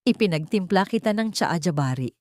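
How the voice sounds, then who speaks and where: A woman voicing a soft-spoken, submissive wife who hides her hatred in an audio drama. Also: on the low side, expressive, fairly quick, dry recording, studio quality, natural voice. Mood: feigned meekness.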